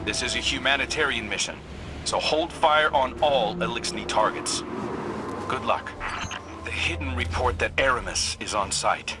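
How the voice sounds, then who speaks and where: A man playing a brisk mission commander. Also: mid-range voice, background noise, close to an everyday voice. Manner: brisk, briefing orders. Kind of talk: video game radio briefing. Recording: music behind.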